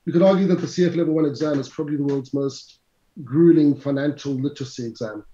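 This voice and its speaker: A man's voice: fairly high pitch, quick pace, warm tone. A middle-aged South African man, a finance instructor, answering interview questions in a chatty, lecturing way with asides to the audience. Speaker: CFA instructor, guest. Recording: roomy, slightly dull sound.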